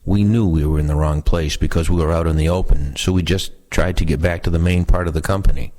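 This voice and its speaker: A man's voice: deep voice, steady tone, fast, darkish tone. A man reading first-person oral history recollections aloud as scripted narration. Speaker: reader of veterans' recollections.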